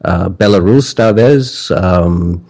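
A male guest answers in a very low voice, speaking slowly and haltingly. He pauses constantly on "ah" and "uh" while he hunts for the next word. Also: warm tone. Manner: halting, searching for words with many "ah" pauses. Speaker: interview guest. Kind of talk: casual interview answers.

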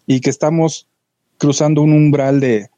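Thoughtful Mexican man, halting speech. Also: fairly high pitch, level tone, mellow, no room sound, lo-fi sound. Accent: Mexican Spanish. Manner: reflective, halting, many restarts. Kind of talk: casual podcast discussion.

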